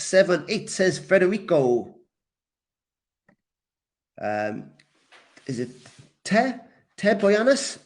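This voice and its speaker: Slow-speaking man teaching, higher-pitched voice. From Wales. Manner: encouraging, deliberate, repeats key words for learners. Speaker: English teacher leading a live online class. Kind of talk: live grammar lesson, reacting to comments.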